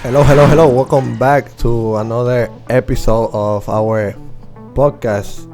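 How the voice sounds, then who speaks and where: Brief: young male host, dark low voice, hesitant fillers, faint music behind. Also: steady tone. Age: young adult. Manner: halting, many "uh" fillers. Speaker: podcast host. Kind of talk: casual podcast interview.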